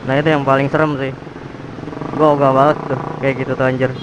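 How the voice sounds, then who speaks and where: Young man, nasal cold voice, rambling slangy motovlog talk, loud traffic noise. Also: mid-range voice, flat delivery, quick pace. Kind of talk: casual vlog narration while riding. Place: on a motorcycle in city traffic. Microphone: helmet or action cam mic.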